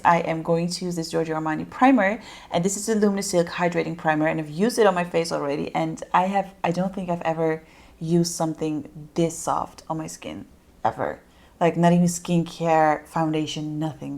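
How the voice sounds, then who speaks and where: A woman's voice: on the low side, gravelly, a little mellow. A young woman with a Dutch accent, chatting casually and rambling through a makeup tutorial with lots of fillers. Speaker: beauty vlogger.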